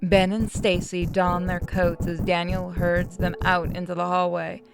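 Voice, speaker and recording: A woman's voice: lowish voice, flat delivery, a little slow, over music. A woman narrator reading the story and stage directions of a visual novel aloud.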